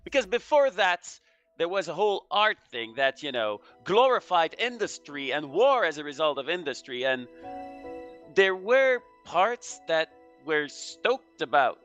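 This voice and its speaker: A man giving casual game commentary on history, halting as he searches for words. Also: fairly high pitch, very animated, fairly bright tone. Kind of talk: casual historical chat over gameplay. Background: music.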